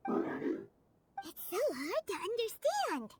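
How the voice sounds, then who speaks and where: A woman voicing a small, childlike fairy companion in a video game, squeaky, cheerful and excitable. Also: very slow, exaggerated voice. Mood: puzzled, concerned. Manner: refers to itself in the third person. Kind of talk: video game character acting.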